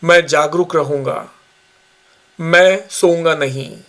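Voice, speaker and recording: Male meditation guide, fairly high-pitched, very slow delivery, short instructional phrases with pauses. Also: a bit bright. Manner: measured, instructional, pausing between short phrases. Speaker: yoga nidra instructor.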